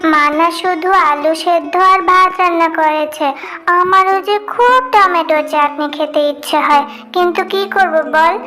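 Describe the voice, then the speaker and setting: A woman's voice: very high voice, steady tone, normal speed, sharp tone. A woman voicing a young animal cub girl in a cartoon, pleading and whiny in a childlike way. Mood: wistful. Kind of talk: cartoon voice acting.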